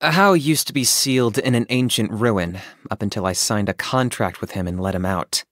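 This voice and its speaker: An actor voicing a stoic, businesslike young male saurian hunter in a video game, speaking in a measured, matter-of-fact deadpan. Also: on the low side, dry recording, studio quality, natural voice. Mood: calm, dry. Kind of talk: scripted video game character monologue.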